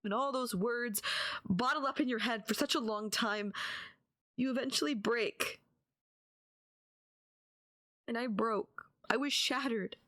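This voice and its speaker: A woman playing a despairing, lovesick yandere kidnapper in an intimate audio roleplay, halting and pleading, often slipping into half-whispers. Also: fairly high pitch, fairly bright tone, no room sound, clean studio sound. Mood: despairing, bitter, then anguished. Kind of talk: intimate ASMR-style dramatic audio roleplay.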